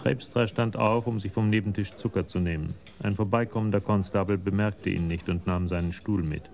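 An actor as the sober, detached storyteller narrating a scripted radio crime drama. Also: male voice, on the low side, steady tone, a little fast, vocal fry, darkish tone.

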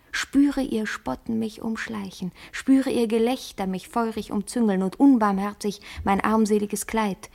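An actress playing a guarded, self-conscious woman in a literary radio drama, speaking deliberately and poetically. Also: medium pitch, creaky, a little mellow. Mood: bitter, wounded.

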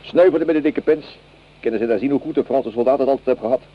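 An actor playing an earthy, gluttonous French soldier in a Dutch radio play, blunt and coarsely joking. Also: male voice, medium pitch, quick pace, lightly acted. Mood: gruffly jovial. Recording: dull, noisy old radio play recording.

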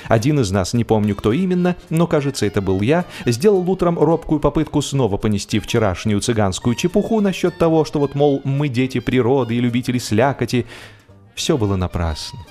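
Male audiobook narrator, mid-pitched, for comic classic fiction; expressive, deliberate, theatrical reading with music underneath. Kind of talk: expressive scripted narration of a humorous novel.

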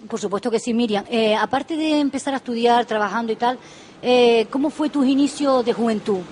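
A woman hosting a radio interview show. She talks in a warm, chatty, affectionate way. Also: on the high side, a little fast, slight background noise. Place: local radio studio.

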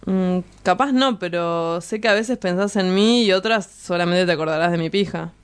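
Woman playing a low-voiced, lecherous macho lover. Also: moderate pace, clean tone, dry recording, lightly acted. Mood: taunting. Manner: provocative, insistent. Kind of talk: radio drama dialogue.

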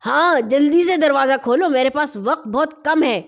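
A woman voicing a brash, impatient male robber in a storybook reading, loud and demanding. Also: very high voice. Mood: menacing.

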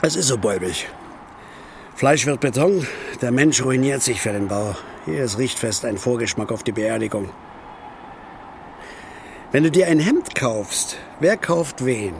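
An actor playing a weary, bitter, hard-drinking East German building worker, declaiming poetically in a theatrical radio play. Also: male voice, medium pitch, animated, measured pace, long pauses, a little hiss or hum. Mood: bitter, insistent.

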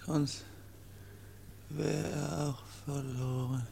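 An actor playing a gentle dying young man in a radio play, exhausted and feverish, speaking in halting, broken phrases. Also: fairly high pitch, little pitch movement, vocal fry, heavily acted. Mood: exhausted, fading. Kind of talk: German radio play acting. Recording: noisy old radio drama recording.

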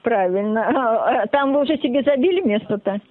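A woman's voice: a little high, expressive, a little fast. A combative woman scolding a caller she thinks keeps phoning her. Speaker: prank victim. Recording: tinny phone line.

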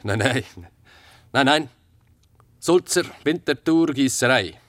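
An actor playing a taciturn former soldier in a radio drama, answering tersely and evasively. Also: male voice, medium pitch, slow pace, no echo. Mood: guarded, evasive. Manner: terse, flat one-word answers, evasive. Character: taciturn, guarded former soldier under questioning.

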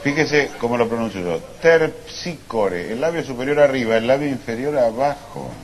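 A man's voice: mid-range voice, brisk, close to an everyday voice. Guy doing a fussy know-it-all scholar, stiff formal talk, noisy live stage. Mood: pedantic. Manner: mock-learned, overly formal. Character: pedantic, fussy male language scholar. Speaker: comic stage performer. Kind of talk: live stage comedy sketch. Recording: live stage with background noise.

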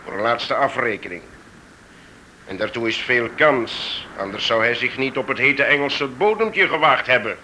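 Genial investigator, very bright-voiced man. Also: medium pitch, animated, moderate pace. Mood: grave, urgent. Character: genial, authoritative male British knighted investigator. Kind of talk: radio drama acting. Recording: noisy old radio play recording.

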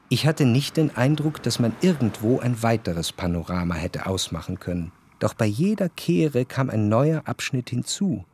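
A man playing a reflective, cultured narrator in a radio drama, speaking measured, articulate standard German. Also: mid-range voice, a little mellow, slight background noise, natural voice. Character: cultured first-person narrator, reflective society observer.

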